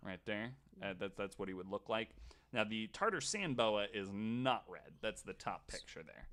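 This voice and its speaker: A man hosting a podcast, telling stories casually in a halting, conversational way. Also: lowish voice, animated, fairly bright tone. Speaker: podcast host. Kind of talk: casual storytelling and chat.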